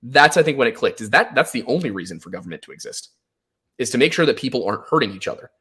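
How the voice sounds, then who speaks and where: A man's voice: mid-range voice, expressive, quick pace, sharp tone, breathy edge. A man in his twenties with an American accent, talking casually and at length as a podcast guest, explaining himself through personal stories. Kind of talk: casual conversational storytelling.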